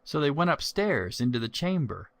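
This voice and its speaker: A man narrating a children's story from a script in a measured, expressive way. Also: a little high, gravelly, no echo.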